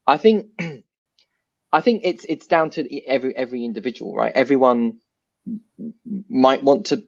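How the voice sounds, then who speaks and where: A man from South London, a guest in a casual discussion, talking in an animated, thoughtful way with frequent restarts. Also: medium pitch, gravelly, highs cut off. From South London, England.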